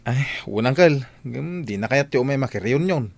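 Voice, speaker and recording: A man playing a friendly, eager village cousin in a radio comedy-drama, with an exclamatory, animated delivery. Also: medium pitch, a little fast, vocal fry, no room sound. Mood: casual.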